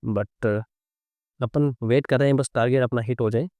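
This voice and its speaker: Mellow man explaining trades, sore throat. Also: mid-range voice, steady tone, normal speed, dry recording. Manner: conversational explainer, often checking with tag questions. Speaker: trading instructor and live-trade host. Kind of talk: casual live commentary teaching chart reading.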